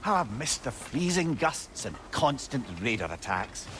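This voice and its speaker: An actor playing a wry, talkative companion in a video game, full of dry sarcasm. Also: male voice, mid-range voice, sing-song, unhurried, a bit bright. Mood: sardonic. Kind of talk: video game drama acting. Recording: clearly noisy game ambience.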